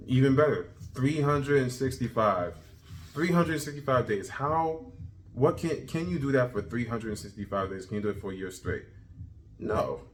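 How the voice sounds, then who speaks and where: A thirty-year-old man talking casually in a self-improvement video journal, energetic and repeating himself as he pushes his point. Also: mid-range voice, normal speed, a little hiss or hum, rough recording. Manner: energetic, repetitive, self-motivating. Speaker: vlogger.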